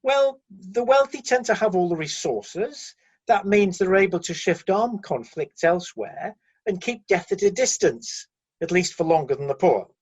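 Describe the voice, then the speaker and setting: A man's voice: high-pitched, expressive, moderate pace, darkish tone, slightly rough, highs cut off. A man acting in a radio play as a candid, doubtful heavenly aide, deferential and matter-of-fact toward the one in charge. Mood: matter-of-fact, apologetic.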